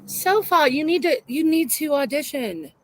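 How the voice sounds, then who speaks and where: A high-pitched woman co-hosting a relaxed livestream, playful and chatty. She jokes around, greets viewers by name and banters with her co-host. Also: normal speed, poor audio. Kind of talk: casual livestream chat.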